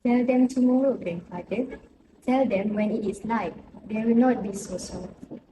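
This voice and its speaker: A woman's voice: fairly high pitch, moderate pace, close to an everyday voice. A woman playing a tender, pleading young granddaughter in an amateur stage play. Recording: echoey room with clear background noise.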